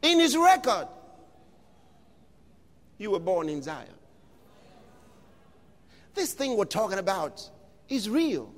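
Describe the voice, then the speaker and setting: A man preaching an impassioned sermon, shouting lines and stretching out words for emphasis. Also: high voice, sing-song, slow pace, pauses often, in a large hall.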